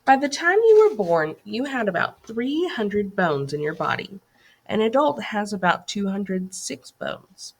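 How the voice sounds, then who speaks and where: A woman reads a school lesson aloud with very animated, swooping intonation. Her voice sits at a middling pitch and has a husky edge. She sounds instructive, like a teacher putting questions to young pupils. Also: a little slow, rough recording. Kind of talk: scripted educational read-aloud.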